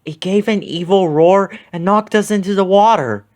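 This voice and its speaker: A man's voice: high-pitched, normal speed, a little mellow, pitched above the performer's own voice, close to an everyday voice. A man voicing a shaken, earnest young camper in a storybook, retelling things dramatically. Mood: frightened.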